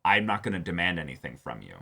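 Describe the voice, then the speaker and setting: A man's voice: low voice, big pitch swings, fast talker, creaky, sharp tone, husky. A man in a casual discussion as co-host of a reaction show, speaking emphatically and repeating phrases as he builds his point.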